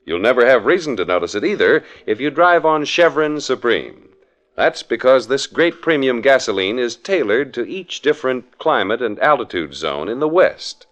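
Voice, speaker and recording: A male announcer in 1940s radio style, reading scripted narration and sponsor copy. His medium-pitched voice moves in lively swings. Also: normal speed.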